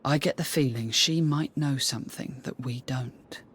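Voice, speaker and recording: A woman's voice: extremely low pitch, normal speed, slightly rough. A woman playing a thoughtful, level-headed female warrior companion in a video game, with an inquisitive manner. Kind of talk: video game cutscene acting. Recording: music behind the voice.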